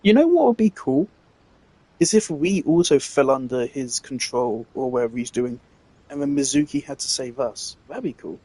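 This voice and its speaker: A man's voice: mid-range voice, lively intonation, moderate pace, dark tone, husky, no echo, poor audio. A man giving casual, rambling game commentary, musing hesitantly and trailing off. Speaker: game streamer. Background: game music.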